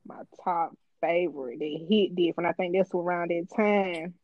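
A woman's voice: on the low side, fairly even intonation, moderate pace, no room sound, dull audio. A woman co-hosting a podcast in casual chat, teasing and laughing a lot. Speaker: podcast co-host.

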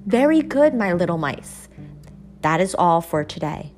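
A woman's voice: on the low side, big pitch swings, a little slow, soft background music. A woman as a patient mother mouse. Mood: coaxing. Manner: gentle, questioning teacher. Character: patient mother meadow mouse teaching her children.

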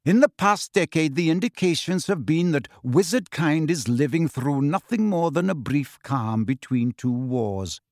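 A man voicing a calm, aloof male centaur teacher in an audiobook, speaking in a measured, solemn, formal way. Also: a little high, no echo, studio quality. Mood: grave, prophetic. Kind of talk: acted audiobook dialogue.

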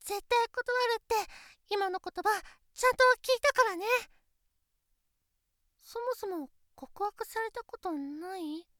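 A very high, girlish voice of a shy teenage girlfriend, acted by a young woman, talking slowly and softly as if lying next to the listener. The sound is close and completely dry, with no room around it. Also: no echo, heavily acted. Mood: pleading, then anxious. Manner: soft, intimate, pillow-talk delivery. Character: shy, insecure teenage girlfriend, female classmate. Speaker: voice actress. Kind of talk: Japanese situation voice drama. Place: studio.

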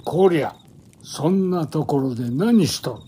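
Blunt elderly forest patroller, rustic Japanese dialect, slow delivery, very animated pitch, radio drama. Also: male voice. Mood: gruff, questioning. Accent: rustic Japanese old-man dialect. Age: older. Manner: blunt, folksy, elderly turns of phrase. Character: blunt, folksy old man patrolling the forest.

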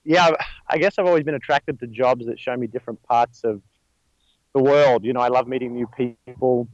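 A man's voice: medium pitch, fairly quick, no room sound, lo-fi sound. A man with an Australian accent, chatting as an interview guest, rambling with many fillers and restarts. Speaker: guest, stuntman. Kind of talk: casual interview talk.

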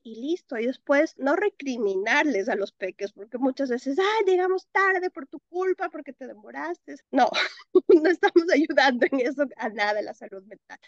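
Expressive Ecuadorian woman, interview guest. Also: high voice, no echo, muffled recording. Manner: expressive, laughs often, mixes in English words. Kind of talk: interview answers.

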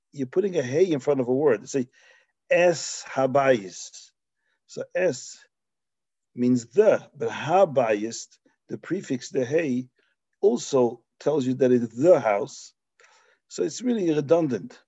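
A man's voice: medium pitch, warm tone, husky, dry recording, highs cut off. A man raised in Belgium giving a Talmudic lecture, explaining passages with Hebrew and Yiddish terms and frequent restarts. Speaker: Torah teacher.

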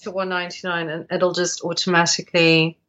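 A woman with a South African accent and a slightly low voice asks questions in a casual call. She talks hesitantly, with fillers and restarts. The audio is dull and lo-fi. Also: level tone, fairly quick, a bit bright. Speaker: app developer asking for advice.

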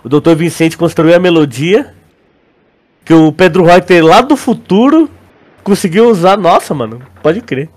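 A Brazilian man with a fairly high voice talks like a podcast co-host among friends. His speech is slangy and informal, and he circles back over words while working out his point. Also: lively intonation, normal speed, a little mellow. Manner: slangy, repeats words while searching for phrasing. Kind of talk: casual chat, theorizing about a show.

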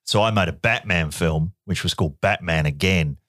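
A man co-hosting a podcast in casual chat, telling animated stories. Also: normal speed, dry recording, clean studio sound. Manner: animated storyteller, jumps up to a boyish high voice for quotes. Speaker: podcast co-host.

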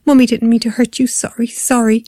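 A woman's voice: a little high, moderate pace, dark tone, breathy edge, dry recording, voice pushed up. A woman acts out a desperate young mother in an audiobook drama. She pleads and gasps in raised, panicked tones, recorded dry and close. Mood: distraught soothing. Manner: gasping, pleading, bursting out in panic. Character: frantic young mother terrified for her kidnapped son. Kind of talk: audiobook character acting.

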